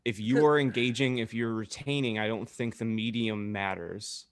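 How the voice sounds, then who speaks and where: A man's voice: lowish voice, fairly even intonation, normal speed, background noise. A man co-hosting a casual podcast, talking in a wry, teasing, joking way. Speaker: podcast co-host. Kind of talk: casual group banter.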